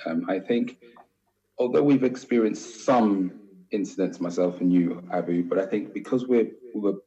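A man's voice: low-pitched, moderate pace, warm tone, lo-fi sound. A man with an English accent, a doctor speaking earnestly and searching for words.

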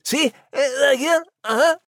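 An actor playing a scrappy, jokey male dog with a husky voice and a Scottish accent, bantering in a comic audio drama. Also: very high-pitched, normal speed, fairly bright tone, dry recording, studio quality. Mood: wry banter. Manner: folksy, colloquial banter.

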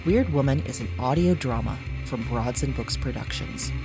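A woman's voice: extremely low pitch, moderate pace, over loud music, poor audio. A woman reading scripted podcast narration, such as credits and promos.

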